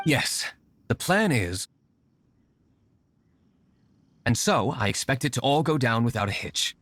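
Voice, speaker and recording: Man playing composed aristocratic young master, expressive. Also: mid-range voice, background noise, lightly acted. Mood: resolute. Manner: formal, measured, well-spoken. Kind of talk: video game character acting.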